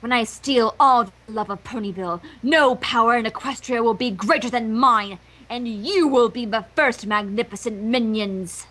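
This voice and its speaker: A vain unicorn villainess from a cartoon, played by a woman. She gloats in a high, bright voice, grand and theatrical about her schemes. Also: normal speed, hardly any pauses, poor audio. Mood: gloating.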